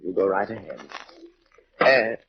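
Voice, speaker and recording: A man's voice: deep voice, animated, a little slow. Menacing male servant, outwardly polite, hesitant, varied intonation, dull noisy vintage radio drama sound. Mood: polite, guarded. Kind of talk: old-time radio detective drama acting. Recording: muffled old radio recording, heavy noise.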